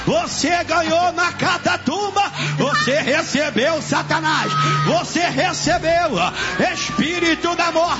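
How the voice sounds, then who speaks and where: A man's voice: very high-pitched, takes it slowly. A man with a Brazilian accent, a pastor leading a deliverance prayer in a commanding, repetitive chant. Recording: muffled, loud music and crowd behind. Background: loud music and congregation.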